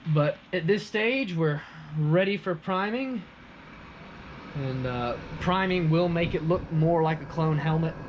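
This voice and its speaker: A man's voice: on the high side, a little slow, muffled recording, a little hiss or hum. A man hosting a hobby prop-making video, explaining his build in a casual, chatty, enthusiastic way.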